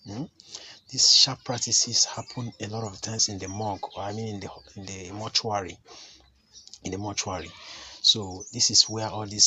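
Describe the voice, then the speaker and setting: A low-voiced man speaks as a chief priest teaching his viewers, his voice a little hoarse from a cold. His tone is bright and forceful, and he talks in an earnest, repetitive way, checking in with a questioning "hmm?". A faint hiss of noise sits under the recording. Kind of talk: talking-head teaching to camera.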